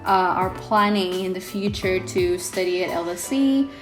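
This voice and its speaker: A young woman vlogger whose first language is Indonesian, talking casually to her viewers with many pauses and ums. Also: on the low side. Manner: hesitant, many ums, reflective. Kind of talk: casual vlog talk to camera. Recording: echoey room with faint music.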